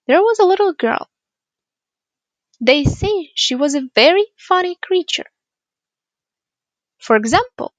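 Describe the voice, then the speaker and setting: A woman with a high voice narrates a monologue like a fairy tale. The sound is dry and close, with very little room in it. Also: dry recording. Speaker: monologue reader.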